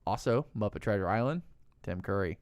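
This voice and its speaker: A man's voice: on the low side, lively intonation, brisk, darkish tone, clear, resonant tone. A man hosting a podcast in a casual comedic style, with an irreverent, sweary, joking manner. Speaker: podcast host. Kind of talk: casual comedic chat, recapping a film.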